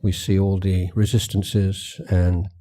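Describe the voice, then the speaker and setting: A man guiding a meditation as a teacher, speaking gently and in a measured way with long pauses. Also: sits low, level tone. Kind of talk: guided meditation talk at a retreat.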